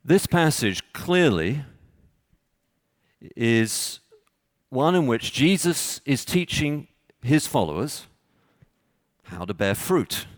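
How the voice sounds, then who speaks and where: A man from Texas with a Texan accent, preaching a sermon with measured, halting delivery and frequent pauses. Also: medium pitch, big pitch swings, dry recording, clean studio sound. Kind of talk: sermon with scripture reading.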